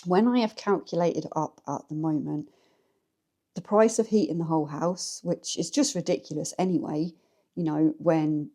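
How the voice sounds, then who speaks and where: A woman's voice: low voice, normal speed, mellow. A woman with an English accent chatting frankly to camera from her living room at home, talking straight to her viewers. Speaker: vlogger. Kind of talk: casual chat to camera. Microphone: camera set up in the room.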